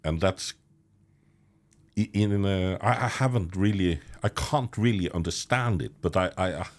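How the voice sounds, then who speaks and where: Very deep-voiced man, halting. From Norway. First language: Norwegian. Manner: halting, many restarts, chuckles mid-sentence. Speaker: podcast host. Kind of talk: conversational interview.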